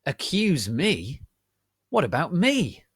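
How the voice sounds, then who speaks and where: A man voicing a furious, sarcastic, melodramatic teenage goth girl who shouts and growls. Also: fairly high pitch, wildly swooping pitch, takes it slowly, breathy edge, no room sound, studio quality, pitched above the performer's own voice, full character voice. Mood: angry.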